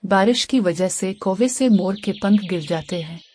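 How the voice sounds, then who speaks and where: A woman's voice: medium pitch, a little slow, darkish tone. A woman narrator reading a scripted children's cartoon story. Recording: faint background noise.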